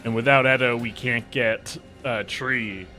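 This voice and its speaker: A man's voice: mid-range voice, fairly even intonation, measured pace, sharp tone, faint music behind. A man co-hosting a gameplay stream, talking bluntly and animatedly, swearing casually.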